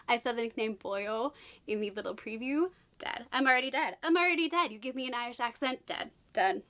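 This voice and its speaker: A woman hosting a casual book unboxing vlog, a little raspy from being sick, bubbly and gushing, with hushed whispered asides. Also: fairly high pitch. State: slightly raspy from illness. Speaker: book channel host.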